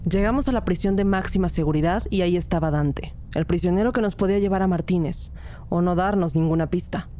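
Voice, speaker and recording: A woman playing a composed, reflective police detective who narrates a crime audio drama in a measured, dramatic way. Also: fairly low pitch, mellow, a little hiss or hum, close to an everyday voice. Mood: resolute. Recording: music bed under the voice. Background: dramatic music.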